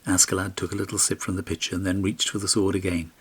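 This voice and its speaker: Male audiobook narrator, fairly low and slightly rough, telling a fairy tale with expression. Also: little pitch movement, fast talker.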